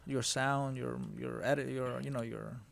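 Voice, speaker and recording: A man asks the questions in a casual interview, his mid-range voice rough with gravelly fry. He stumbles and repeats words as he builds each question, dropping slang like dope along the way. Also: medium pitch, level tone, measured pace, fairly bright tone.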